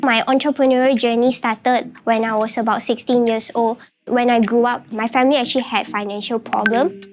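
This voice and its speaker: A Malaysian woman in her twenties with a high-pitched voice, earnestly telling her story as an entrepreneur. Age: 20s. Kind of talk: personal story narration.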